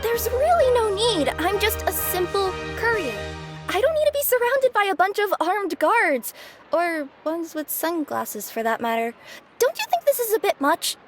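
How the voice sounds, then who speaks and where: A high, humble cat-spirit courier. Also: female voice, big pitch swings, moderate pace, faint music behind. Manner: polite, humble, eager to please. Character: cheerful young cat-spirit courier girl, modest.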